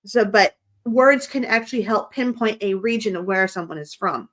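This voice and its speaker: A woman's voice: mid-range voice, moderate pace, a bit bright. An American woman from the United States hosting a casual chat, asking probing questions and laughing often. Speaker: host.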